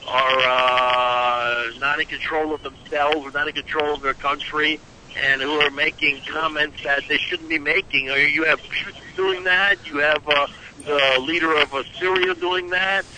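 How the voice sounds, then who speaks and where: Over noticeably noisy radio audio, a high-voiced man debates politics as a panel guest. He sounds defensive and argumentative, often repeating his points. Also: steady tone, unhurried.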